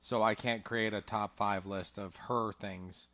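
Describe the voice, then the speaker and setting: A man co-hosts a casual talk show in a fairly low voice. He speaks hesitantly, searching for his words. The recording is dry and close. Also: steady tone, unhurried, sharp tone, dry recording. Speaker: podcast co-host. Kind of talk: casual chat.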